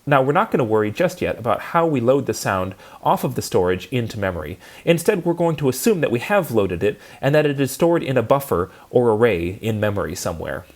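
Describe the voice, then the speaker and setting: Male instructor for technical tutorial narration. Methodical, structured delivery, animated intonation. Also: medium pitch. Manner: methodical, explanatory, clearly structured phrasing. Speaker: lecturer in audio programming. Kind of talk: prepared instructional lecture narration.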